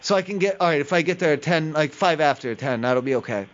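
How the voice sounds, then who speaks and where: A man's voice: a little high, lively intonation, fast. A man making a prank phone call, rambling and persistently asking questions as if confused. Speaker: prank caller. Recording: muffled lo-fi call recording.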